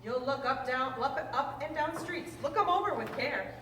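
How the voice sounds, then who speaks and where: A woman reading a children's rhyming book aloud in a lively, sing-song way. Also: high voice, normal speed. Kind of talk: read-aloud storytelling. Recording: roomy, distant mic, faint noise.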